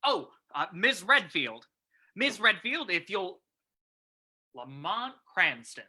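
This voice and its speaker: A man playing a gruff, fussing police commissioner in an old-time radio reading. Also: high-pitched, sing-song, slow pace, sharp tone, heavily acted. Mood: brisk.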